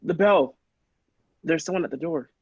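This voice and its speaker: A man's voice: a little high, moderate pace, husky, no room sound, dull audio. A man playing an anxious, cautious doctor in an old-style radio play, speaking with earnest worry. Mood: alarmed. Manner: worried, earnest.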